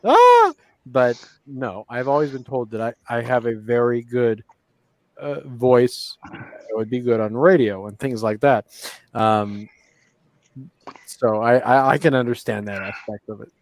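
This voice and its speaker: A man's voice: mid-range voice, big pitch swings, a little slow, no room sound. A man chatting casually on a live stream, tipsy and rambling, joking at his own expense. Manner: rambling, tipsy, self-mocking. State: drunk. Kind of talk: casual chat.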